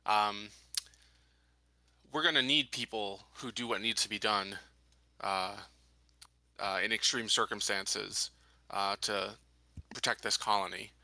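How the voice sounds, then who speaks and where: A man voicing a grim, authoritative male colony sheriff in a halting tabletop roleplay. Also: on the low side, steady tone, vocal fry, bright, forceful tone, no echo, full character voice. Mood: grave, deliberate.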